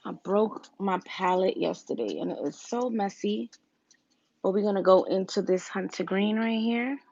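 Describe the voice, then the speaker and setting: A woman with a raspy voice, casually talking viewers through a makeup look on a livestream, step by step. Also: mid-range voice, moderate pace, a little mellow, no echo, lo-fi sound. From Virginia, US. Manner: chatty, talks viewers through each step. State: raspy, deeper voice than usual.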